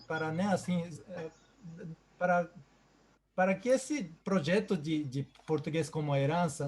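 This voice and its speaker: A man with a Japanese accent, a native Japanese speaker, hosting and giving effusive closing remarks in a halting way with many repeated words. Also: on the high side, darkish tone. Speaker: host. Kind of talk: closing remarks on a video call.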